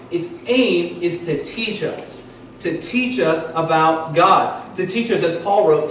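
A man in his thirties preaches at a church pulpit, asking his congregation questions as he teaches. His voice sits a little high for a man. It carries through a very echoey sanctuary, caught by a mic set some way off, with a faint background hiss. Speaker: pastor. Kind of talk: sermon. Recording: echoey church hall from far off.

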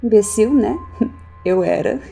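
A woman's voice: mid-range voice, animated, slow, vocal fry, dark tone, soft background music. An actress playing an unhinged, nihilistic woman in an audio drama, musing in a theatrical, sardonic way. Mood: bitter recollection. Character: unhinged woman recounting her cultish family's cruelty, mocking, nihilistic.

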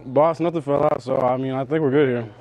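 A man posing as a store worker in a casual, deadpan prank exchange. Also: mid-range voice, brisk, vocal fry, faint music behind, poor audio.

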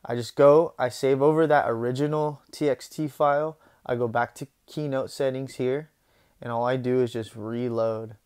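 A young man walks viewers through a software tutorial in a relaxed, chatty way, dropping little exclamations as things work. His voice sounds smooth and clear, with a middling pitch. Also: normal speed. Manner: relaxed, conversational, says "boom" and "guys" often. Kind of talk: casual screen-recorded software tutorial.

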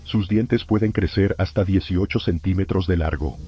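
A man playing a grave emergency broadcast announcer, narrating scripted horror warnings in a solemn, formal, measured way, through muffled lo-fi sound. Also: low-pitched, slight background noise, close to an everyday voice.

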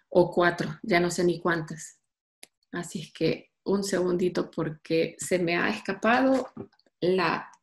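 A woman in her thirties presenting a literature session on a video call, halting with fillers and restarts. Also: on the low side, gravelly. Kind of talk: casual online talk.

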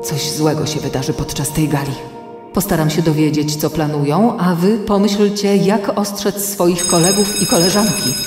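A woman playing a calm, watchful artificial intelligence mentor in a children's radio play, serious and deliberate, giving warnings. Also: fairly low pitch, close to an everyday voice. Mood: urgent. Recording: echoey long reverb, music underneath.

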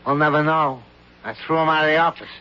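A weary, blunt theatrical agent who talks straight and dismisses people. The voice sits fairly high for a man, with a gruff manner. It comes through a dull, lo-fi old radio broadcast. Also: steady tone, fast talker, bright, forceful tone. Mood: dismissive. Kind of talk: 1970s radio drama acting.

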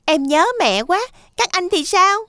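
A clever little boy pig in a cartoon fairy tale. The voice is very high and earnest. The sound is dry and clean. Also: animated, unhurried, bright, forceful tone, dry recording, exaggerated voice. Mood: earnest, cheerful. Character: small, clever, earnest young male piglet. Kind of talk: animated fairy tale dub.

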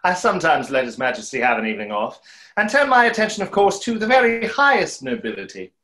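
A man's voice: fairly high pitch, sing-song, fast, fairly bright tone. A young man playing a suave, wry, boastful conjurer in a play reading over a video call. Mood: grandly boastful. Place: room at home, video call.

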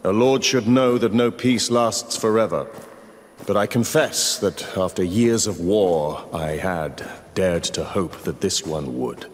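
A man's voice: low-pitched, slow. A man playing a war-weary, commanding lord in a video game, grave and resolute. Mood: weary, reflective. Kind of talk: video game cutscene acting. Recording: reverberant hall with faint ambient noise.

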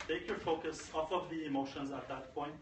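A man's voice: a little high, fairly even intonation, a little fast. A man in his thirties giving a motivational tech-conference talk, emphatic and repeating phrases for effect. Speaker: conference speaker. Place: conference room. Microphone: far camera. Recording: echoey room, far from camera, faint noise.

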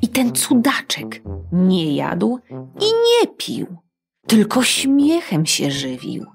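Slow, sing-song woman storyteller. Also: mid-range voice, huge pitch swings, creaky, faint music behind. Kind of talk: children's audiobook narration.

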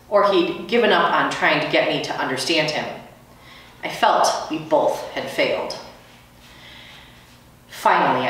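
A woman's voice: sits low, fairly bright tone. A middle-aged woman, a teacher, reading a children's story aloud in a small school room, picked up by a camera a few metres away. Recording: echoey room, distant microphone.

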